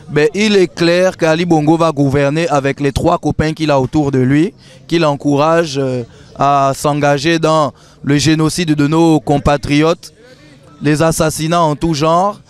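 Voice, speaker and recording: Man, firm and insistent, smooth voice, slightly high, handheld mic outdoors at night. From Gabon. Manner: firm, insistent, repeats his points. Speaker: activist interviewee. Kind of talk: street interview statement. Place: city street at night, outdoors. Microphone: handheld reporter mic.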